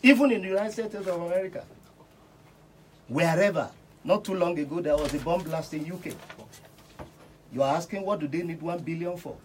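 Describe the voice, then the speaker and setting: A man's voice: a little high, a little fast, long pauses, darkish tone, a little hiss or hum, rough recording. A man with a Nigerian accent debates politics as a radio guest, oratorical and insistent, often repeating phrases. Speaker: radio panel guest, reverend. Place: radio station.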